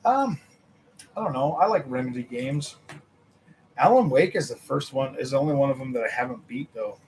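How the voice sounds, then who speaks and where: A young man hosting a gaming channel, chatting casually with his viewers, animated and laughing, his voice a little worn from nearly losing it. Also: normal speed, slight background noise, rough recording. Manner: animated, laughing, mimics others in a high voice. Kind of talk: casual livestream chat with viewers.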